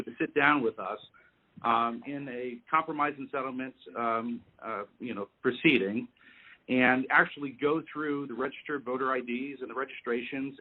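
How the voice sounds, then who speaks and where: A man speaking in a formal, measured, lawyerly way as he negotiates on a conference call. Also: medium pitch, husky. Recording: narrow, tinny phone line.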